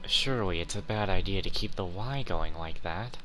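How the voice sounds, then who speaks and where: A man's voice: sits low, normal speed. A man giving casual, dry, offhand commentary as he plays through a video game. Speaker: gamer commenting on playthrough. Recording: game music under the commentary.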